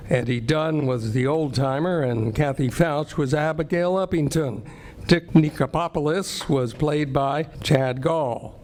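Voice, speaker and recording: Higher-voiced male old-time radio announcer. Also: darkish tone. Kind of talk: 1940s radio announcing, scripted. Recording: live stage recording, background noise.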